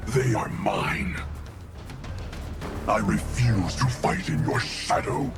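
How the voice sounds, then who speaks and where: Proud menacing warrior, fighting game, gravelly, low-leaning, slow, imperious. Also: male voice. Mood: defiant, resentful. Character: proud, ruthless, resentful male warrior. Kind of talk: cinematic fantasy game acting. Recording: very loud music behind.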